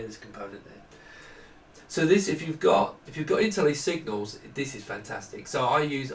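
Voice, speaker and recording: A middle-aged man talks through hardware in an informal, unscripted way, at an average pitch. He often stops and rephrases as he explains. The sound is roomy and picked up from a distance, with a little background noise. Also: vocal fry, a bit bright. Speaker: presenter demonstrating hardware prototypes. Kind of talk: informal unscripted product walkthrough. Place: small indoor workroom with desk. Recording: echoey room, distant mic, faint noise.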